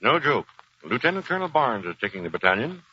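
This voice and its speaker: An actor playing an older colonel, kindly yet authoritative, in a 1940s radio drama. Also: male voice, mid-range voice, very animated, fast talker, bright, forceful tone, breathy edge, full character voice. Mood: firm. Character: older Marine colonel, kindly but firm with his sergeant. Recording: dull 1940s radio recording.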